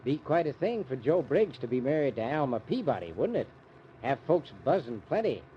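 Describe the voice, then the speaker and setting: An actor with an American accent playing a folksy, scheming small-town sheriff in an old-time radio drama, with a rustic drawl. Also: male voice, medium pitch, very animated, normal speed, lightly acted. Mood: sly, insinuating. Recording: muffled, noisy old radio recording.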